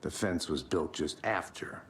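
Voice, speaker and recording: A man's voice: extremely low pitch, unhurried, a little hiss or hum. An actor playing a dry, terse male FBI agent in a game cutscene.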